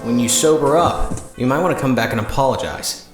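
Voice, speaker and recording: A man playing a steady, moody young former sidekick hero in an audio drama. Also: mid-range voice, a little fast, roomy sound, over music, natural voice. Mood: firm.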